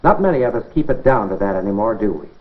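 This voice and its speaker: A man's voice: fairly low pitch, expressive, quick pace. An American man presenting an educational science film in a scripted 1950s style, instructive and addressing the viewer directly with rhetorical questions. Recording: dull band-limited 1950s film soundtrack.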